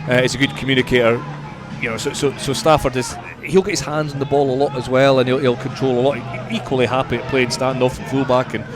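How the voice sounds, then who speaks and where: A man's voice: mid-range voice, level tone, fast, few pauses. Man talking rugby, music behind him. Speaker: guest rugby pundit. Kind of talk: conversational sports punditry.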